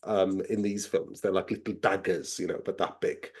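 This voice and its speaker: A man's voice: deep voice, level tone, normal speed. A man co-hosting a casual film podcast, swearing freely as he explains folklore at length. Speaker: podcast co-host.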